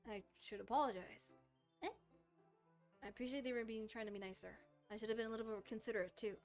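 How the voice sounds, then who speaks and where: A woman reads a mild, slightly uneasy schoolboy telling his visual novel story. The voice is on the high side, with music playing behind. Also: fairly even intonation, fast talker, close to an everyday voice. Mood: apologetic. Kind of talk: visual novel text read aloud.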